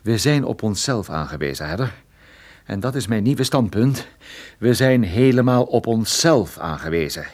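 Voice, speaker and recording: A man playing a wry, stubborn private detective in a Dutch radio play, explaining things in a conversational, halting way. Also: lowish voice, expressive, darkish tone. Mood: urgent, resolute. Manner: conversational, hesitant interjections, explanatory. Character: wry, stubborn male private detective, injured but determined.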